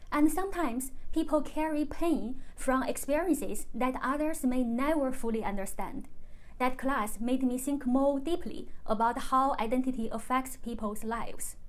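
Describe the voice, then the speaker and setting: A woman from China whose first language is Chinese, speaking in English in a calm, measured, carefully scripted monologue as a vlogger. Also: sits high. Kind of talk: reflective personal monologue.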